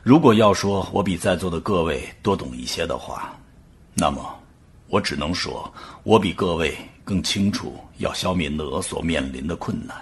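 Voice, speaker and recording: A man playing a grave, uneasy scientist giving a measured, halting formal speech in a radio drama. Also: deep voice, lively intonation, slightly rough, full character voice. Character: grave, uneasy male scientist professor addressing a council.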